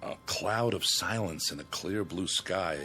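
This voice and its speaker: An actor playing a dutiful, open-minded major in a radio drama, speaking in a measured, probing way. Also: male voice, low-pitched, bright, forceful tone, slight background noise. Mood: calm, probing.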